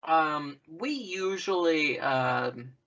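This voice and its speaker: A man's voice: on the high side, lively intonation, drawn-out words, sharp tone, lo-fi sound. A man chatting casually as a podcast co-host, swearing freely. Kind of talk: casual chat.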